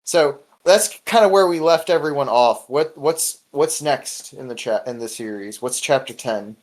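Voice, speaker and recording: A man's voice: mid-range voice, normal speed. A man hosting a casual podcast, talking in a loose, rambling way with chuckles. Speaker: podcast host.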